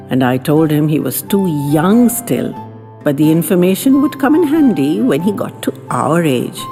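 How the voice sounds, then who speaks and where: Mellow woman narrating a story, long reverb. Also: low-pitched. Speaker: storyteller reading a first-person story. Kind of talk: scripted audiobook-style narration of a slice-of-life story. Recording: long reverb, faint music behind.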